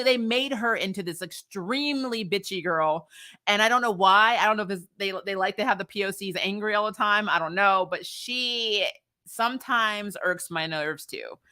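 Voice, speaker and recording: A woman hosting a casual, opinionated recap, talking in an animated, slangy, sweary way and often acting out lines. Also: lowish voice. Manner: acts out dialogue in mocking quotes. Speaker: host of a TV recap show. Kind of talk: casual, opinionated review chat to viewers.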